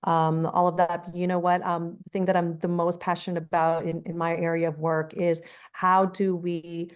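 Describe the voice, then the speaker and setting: A woman with a lowish voice gives an earnest, impassioned introduction on a video call. She speaks as a non-profit leader, steady and heartfelt. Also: normal speed, clear, resonant tone. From Afghanistan. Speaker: non-profit executive director. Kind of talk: panel introduction, personal story.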